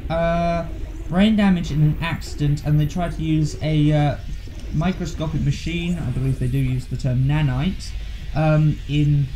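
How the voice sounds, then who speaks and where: A young adult man chatting casually as a guest, with a halting, hesitant delivery. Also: on the high side, steady tone, mellow, slight background noise. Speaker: guest co-commentator. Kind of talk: casual chat.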